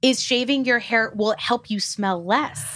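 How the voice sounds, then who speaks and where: An American woman with a bright, crisp-edged voice co-hosts a podcast. She chats casually and candidly, slipping between questions and personal asides. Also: fairly high pitch, normal speed. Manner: candid, shares personal anecdotes. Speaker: podcast co-host. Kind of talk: casual chatty interviewing.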